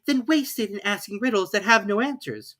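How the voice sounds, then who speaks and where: A woman's voice: mid-range voice, a little fast, a bit bright, voice pushed up, close to an everyday voice. A woman voicing a polite, curious and puzzled young girl in a storybook, proper and earnest.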